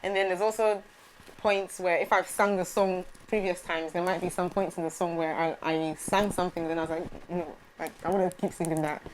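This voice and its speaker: Young female singer, lowish voice, hesitant casual answers, faint background noise. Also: fairly even intonation, brisk, low-quality recording. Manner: soft-spoken, hesitant, many fillers and restarts. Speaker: gospel singer guest.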